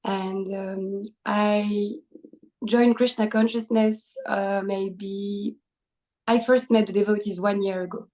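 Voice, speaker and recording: A young woman with a French accent talks in a mellow, dark-toned voice that stays on a flat, even pitch. She answers questions casually, pausing often on fillers and laughing lightly between thoughts. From France. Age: 20s. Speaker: podcast guest. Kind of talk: casual interview conversation.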